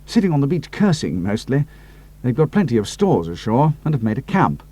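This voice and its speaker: A man's voice: medium pitch, big pitch swings, fairly quick, dark tone, slightly rough. A man voicing a loyal, cautious pilot sidekick who talks earnestly. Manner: earnest and a little doubtful.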